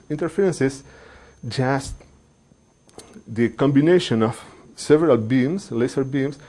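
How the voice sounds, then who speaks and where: Middle-aged researcher, measured and halting. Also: male voice, medium pitch, dark tone. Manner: measured, pausing often with fillers, non-native phrasing. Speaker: engineering researcher. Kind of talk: explaining research to a general audience.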